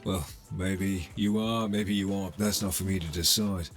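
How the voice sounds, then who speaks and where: Man acting very low-voiced cocky cyberpunk fixer. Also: steady tone, moderate pace, soft background music, close to an everyday voice. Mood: businesslike, streetwise. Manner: casual, teasing, streetwise, swearing. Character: cocky cyberpunk fixer, a sardonic criminal middleman. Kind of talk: ASMR roleplay acting.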